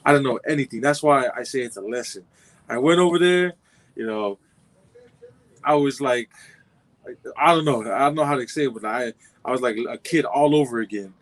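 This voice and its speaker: A man in his twenties from Southern California, a football player answering interview questions in a laid-back, rambling way. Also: mid-range voice. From Compton, California. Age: 20s. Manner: laid-back, rambling, many fillers and restarts. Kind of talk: casual interview.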